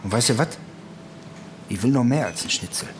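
An actor playing a sardonic, dogged police detective in a radio crime drama, talking in a wry, needling, colloquial way. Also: male voice, mid-range voice, lively intonation, brisk, noisy background, lightly acted. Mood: probing.

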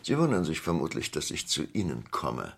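Gravelly, theatrical rich old shipowner. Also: male voice, huge pitch swings, moderate pace, full character voice. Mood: sly, self-assured. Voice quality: warm, dark, raspy baritone. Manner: hesitant, courteous, theatrical. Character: rich older shipowner, courteous, sly, self-assured. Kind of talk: 1980s German radio comedy crime acting.

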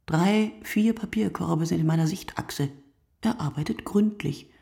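An actress playing a weary, observant woman in a radio play monologue, speaking in measured, short phrases with a husky, breathy voice that often drops to near whisper. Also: on the low side, warm tone, no room sound, clean studio sound, exaggerated voice. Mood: precise, observant. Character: weary, observant woman watching early-morning street from her window. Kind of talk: literary radio play monologue, scripted narration.

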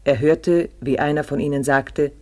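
Man playing radio-play narrator, slightly high male voice, grave, measured, short sober sentences. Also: fairly even intonation, lightly acted. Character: grave storyteller narrating a survival ordeal. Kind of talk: scripted radio drama narration.

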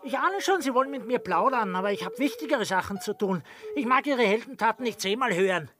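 An actor playing a rude, defensive estate bailiff who blusters and repeats himself indignantly in a radio comedy. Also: male voice, extremely high pitch, expressive, a little fast, music in the background. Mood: dismissive. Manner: brash, defensive, repetitive blustering. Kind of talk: German radio play acting of classic comedy.

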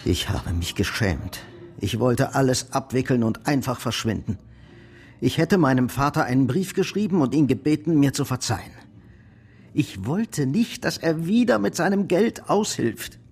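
Ashamed, emotional bankrupt son. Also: male voice, mid-range voice, animated, a little mellow, slightly rough. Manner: emotional, halting, confessional. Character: ashamed, soft-hearted bankrupt grown-up son. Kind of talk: German radio play acting.

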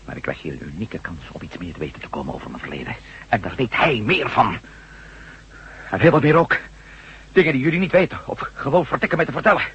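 A man's voice: mid-range voice, very animated, fast talker, a bit bright, slightly rough, lo-fi sound, heavily acted. A man acting a troubled, earnest and stubbornly principled hero in a radio play. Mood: firm, intense. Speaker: actor in a radio drama. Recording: hissy, humming radio recording.

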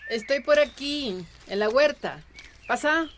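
An actress playing a gentle, hesitant village gardener in a radio drama. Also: female voice, high voice, animated, slow pace, slight background noise. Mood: mild, concerned. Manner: mild, homely, agreeable.

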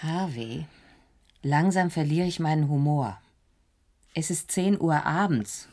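A woman's voice: low-pitched, expressive, slow pace, a little mellow. An actress playing a forthright, affectionate but wryly impatient woman in a German radio drama, with a direct, probing, dryly teasing manner. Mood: weary, sardonic.

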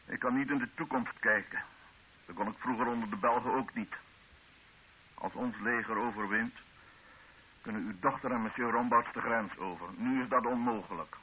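A man's voice: mid-range voice, moderate pace, slightly rough, close to an everyday voice. An actor playing a proud, embattled male army captain and town commander, formal and authoritative, in a radio drama. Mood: stern, forceful. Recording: dull narrow-band old radio recording with hiss.

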